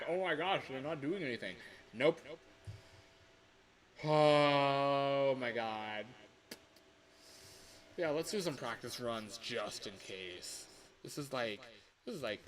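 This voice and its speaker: Slow-paced man rambling on a livestream. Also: mid-range voice, a bit bright. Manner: exasperated, sweary, self-mocking. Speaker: livestreamer recording voice lines. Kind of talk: casual rambling livestream chat.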